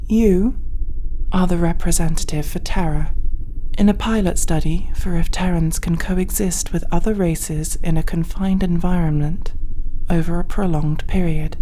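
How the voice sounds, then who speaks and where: A faint background hum sits behind a woman acting as a haughty alien crew officer in a sci-fi roleplay. She speaks slowly and formally, in a lowish, soft-edged voice, with clipped disdain for humans. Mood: formal, briefing. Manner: curt, condescending, formal and measured. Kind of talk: sci-fi ASMR audio roleplay.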